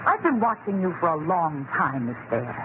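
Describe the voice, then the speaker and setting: A cool, scheming wife in an old radio suspense play. Her delivery is haughty and clipped. The vintage recording is dull, with clear background noise. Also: lowish voice, very animated, moderate pace. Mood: accusatory. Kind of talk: old-time radio drama acting.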